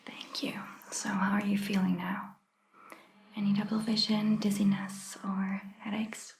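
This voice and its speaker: A woman playing a gentle, attentive vampire doctor in a soft ASMR roleplay, whispering breathily and giving soothing, reassuring instructions. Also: mid-range voice, flat delivery, unhurried, roomy sound, lightly acted. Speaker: ASMR performer.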